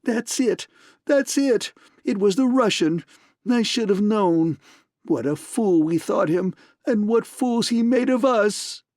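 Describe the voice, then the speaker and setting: A man voicing a shrill, spluttering, tearful man in an audiobook reading. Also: expressive, slow pace, a little mellow, dry recording, clean studio sound. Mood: frantic.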